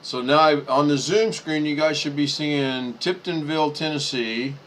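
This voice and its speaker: A man's voice: a little high, a little slow, a bit bright, slight background noise. A man hosting a casual video chat, talking easily while he works through the screen. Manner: easygoing, talks while working the screen.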